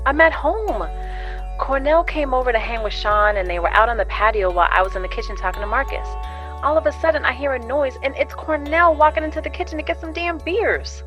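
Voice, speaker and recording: A woman's voice: sits high, lively intonation, brisk, fairly bright tone, soft background music. A woman acting in an audio drama as an anxious, secretive friend, speaking in a fretful, hesitant, conversational way. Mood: worried. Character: anxious, secretive woman confiding in her girlfriend.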